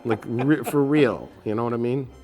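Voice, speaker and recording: A man's voice: mid-range voice, normal speed, warm tone, faint music behind. A man in his forties co-hosting a casual movie chat in a dim studio, rambling and laughing as he riffs. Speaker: co-host. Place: dim studio set with curtains.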